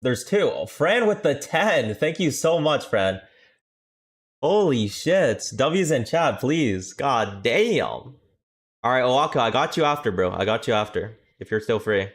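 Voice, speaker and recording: A man's voice: mid-range voice, very animated. A young man streaming, chatting casually and joking with his viewers. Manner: profane, joking, quick reactions. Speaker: streamer and coach. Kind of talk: casual livestream chat.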